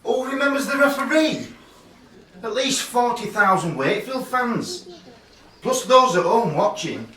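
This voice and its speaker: An actor playing an aggrieved, scornful rugby fan in a radio play, speaking in a declamatory way. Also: male voice, very high-pitched, animated, unhurried, pushed and bright, recorded from a distance, exaggerated voice. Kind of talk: theatrical radio play acting. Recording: echoey, away from the mic.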